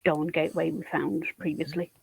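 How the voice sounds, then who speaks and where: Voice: medium pitch, level tone, moderate pace, gravelly, rough recording. A player in a tabletop game, talking casually and politely with some hesitation.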